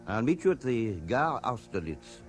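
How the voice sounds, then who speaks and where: An actor playing an anxious lover in a 1970s radio drama, urgent and reassuring. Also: male voice, medium pitch, very animated, moderate pace, lightly acted. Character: anxious male lover giving secretive, urgent instructions. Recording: music under old radio drama.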